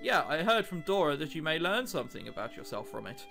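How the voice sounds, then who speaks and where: A man's voice: a little high, sing-song, fast talker, vocal fry, bright, forceful tone, soft background music, heavily acted. A man voicing an earnest, puzzled young amnesiac passenger in a visual novel, questioning and hesitant. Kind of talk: visual novel dialogue read aloud.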